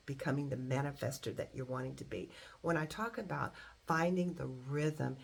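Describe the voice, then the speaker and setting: Middle-aged lady w a super deep raspy voice doing a motivational talk, leans on key words. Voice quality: very deep voice, husky. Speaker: motivational coach and singer.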